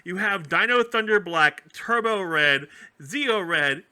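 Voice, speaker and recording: A man chatting casually as a podcast co-host, explaining things in an animated, rambling way. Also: sits high, slow pace. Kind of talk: casual chat, explaining fan theories about a TV franchise.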